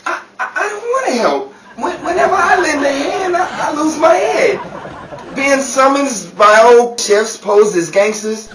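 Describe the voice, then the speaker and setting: A man playing a jittery, excitable pal who dodges trouble, in theatrical old-time radio comedy style. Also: extremely high pitch, unhurried. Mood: flustered protest. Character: Mason, jittery excitable male buddy dodging trouble. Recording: roomy, distant, noisy amateur radio play.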